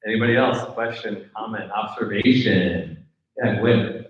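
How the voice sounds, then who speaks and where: A man's voice: lowish voice, measured pace. An American man moderating an informal audience discussion as host. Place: indoor live event room. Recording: echoey room, distant dull mic.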